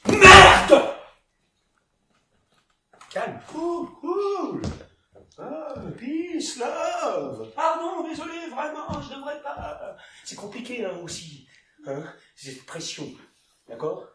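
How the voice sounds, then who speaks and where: A volatile male theatre director, with a very high, bright voice. He hectors and repeats himself, swinging from hushed to shouting. The sound is roomy and picked up from a distance. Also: a little slow, heavily acted. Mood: agitated, apologetic. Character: domineering, volatile male theatre director berating his actress. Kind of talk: theatrical stage acting. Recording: roomy, distant mic with background noise.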